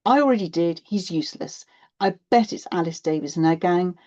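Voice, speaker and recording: Audiobook role: indignant thirteen-year-old girl, played by a woman, sulky and complaining, soft-edged tone, slightly muffled recording. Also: lowish voice, fairly quick, lightly acted. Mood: aggrieved. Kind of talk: acted children's audiobook dialogue.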